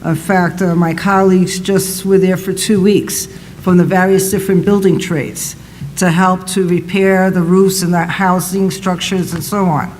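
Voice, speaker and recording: Loud high-pitched guy on a panel, rambling, hall echo, bit of hiss. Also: fairly even intonation, a little slow. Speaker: panelist, college president. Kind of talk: panel discussion answer. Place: public event hall. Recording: roomy hall reverb, faint noise.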